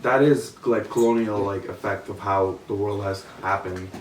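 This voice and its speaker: Low-voiced young man, distant and roomy. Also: steady tone, moderate pace. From India. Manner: rambling, many fillers. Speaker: guest in a group discussion. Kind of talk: casual chat. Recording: roomy, from a distance, faint noise.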